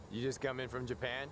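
A man's voice: mid-range voice, fairly bright tone, lightly acted. An actor playing an easygoing, laid-back male taxi driver in a video game, a little hesitant.

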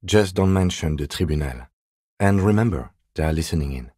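A man playing a calm, dignified middle-aged police lieutenant in a video game, speaking in a measured, precise, deadpan way. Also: extremely low pitch, no echo, studio quality. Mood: measured, matter-of-fact. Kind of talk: video game character dialogue.

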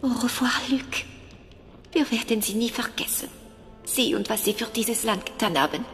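A woman's voice: a little high, moderate pace, fairly bright tone. A woman playing a grave, resolute French Resistance fighter in a dramatic video game cutscene. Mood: somber. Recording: long reverb, music behind.

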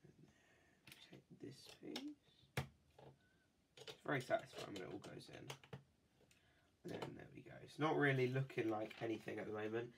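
A man with a head cold talks through a toy build at his desk, his voice rough and gravelly at a middle pitch. He rambles in a chatty, easygoing way, full of ums and asides, with a faint hiss behind him. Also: moderate pace, long pauses. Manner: chatty, rambling, many fillers. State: has a cold. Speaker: toy-building video host. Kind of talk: casual build commentary. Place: indoors at a desk.

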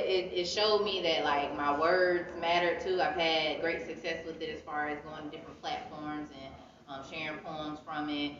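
A young American woman talking casually and reflectively as an interviewed author, recorded from a few feet away in an indoor gallery. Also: low-pitched. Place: indoor art gallery, seated against wall. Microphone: phone camera several feet away. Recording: echoey room, distant mic, dull sound, crowd noise.

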